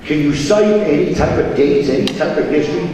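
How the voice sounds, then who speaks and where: A man, a state representative, pressing questions in floor debate in a loud, insistent manner. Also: fairly high pitch, fairly quick, a little hiss or hum. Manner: loud, insistent, self-described voice of authority. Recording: echoey chamber, distant mic.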